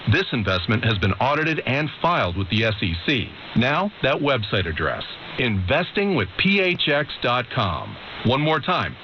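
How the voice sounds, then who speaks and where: Animated male radio ad announcer. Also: medium pitch. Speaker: investment advertisement announcer. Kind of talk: scripted radio ad pitch. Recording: dull radio with faint hiss.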